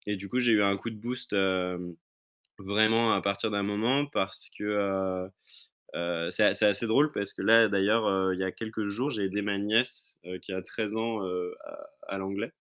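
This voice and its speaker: A man's voice: lowish voice, steady tone, normal speed, a bit bright. A man chatting casually and telling personal anecdotes as a podcast guest, often restarting and searching for his words. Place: remote recording from own location.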